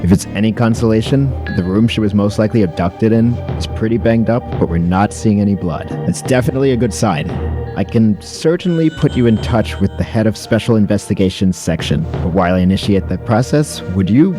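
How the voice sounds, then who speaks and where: A man's voice: lowish voice, brisk, over music, close to an everyday voice. A man playing a polite, slick, media-minded police investigator in an audio drama. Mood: ingratiating, apologetic. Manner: smooth-talking, apologetic, ingratiating.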